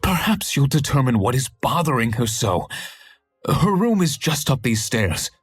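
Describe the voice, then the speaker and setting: An actor playing an earnest, anxious young husband pleading for help in a game cutscene. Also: male voice, medium pitch, big pitch swings, a little slow, faint music behind. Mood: worried. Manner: worried, pleading, slightly husky. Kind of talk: hidden-object game cutscene.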